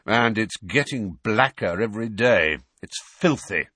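A man's voice: lowish voice, animated, measured pace, pushed and bright, breathy edge, dry recording, voice pushed up, full character voice. A man voicing a cold, impatient master of the house in a storybook, snapping curtly.

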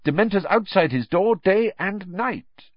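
A man's voice: high voice, animated, a little slow, no echo, lo-fi sound, voice pushed up. A man reading an audiobook and voicing a fussy, self-important middle-aged wizard minister who talks in a pompous, rambling way. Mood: evasive. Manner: pompous, anecdotal, then evasive.

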